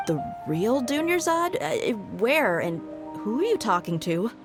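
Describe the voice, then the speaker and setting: An actress playing a tough, loyal young bodyguard in a video game, with a blunt, casual way of talking. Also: female voice, fairly high pitch, sing-song, measured pace, over music. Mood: startled, questioning.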